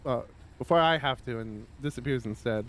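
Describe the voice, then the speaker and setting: A man reading a visual novel aloud on a stream, casual and expressive, with rambling conversational asides. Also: medium pitch, brisk.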